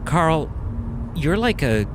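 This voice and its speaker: A man's voice: fairly high pitch, very animated, slow, background noise. A man playing a dry, teasing wisecracker on a road trip in an audio drama. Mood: wry. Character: sarcastic wisecracking young man.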